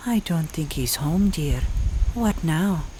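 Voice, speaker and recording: A woman playing a gentle, worried motherly figure in a radio drama, soft and affectionate. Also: low-pitched, expressive, takes it slowly. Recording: loud rain and storm noise.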